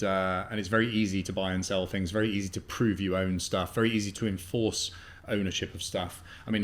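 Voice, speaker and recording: A man in his fifties, a guest in a casual interview, talking in a halting way with many fillers. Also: low voice. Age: 50s. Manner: hesitant, heavy on fillers. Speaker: guest, business buyer.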